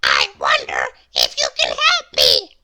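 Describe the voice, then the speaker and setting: A woman voicing a small, polite, confused storybook man with a halting, puzzled manner. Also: a bass voice, wildly swooping pitch, gravelly, bright, forceful tone, pitched below the performer's own voice, full character voice.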